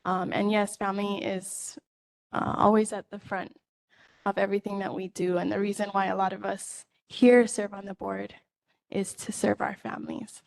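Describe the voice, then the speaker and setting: A woman's voice: medium pitch, flat delivery, moderate pace, creaky, a little mellow, poor audio. A woman chairing a public neighborhood meeting, procedural and courteous.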